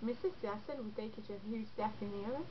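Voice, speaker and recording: A woman's voice: mid-range voice, rapid-fire. A young woman with a Québécois French accent, congested with a cold, chatting casually and rambling with lots of fillers in a vlog. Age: young adult. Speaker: beauty vlogger. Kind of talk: casual chat to camera. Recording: dull lo-fi recording with faint noise.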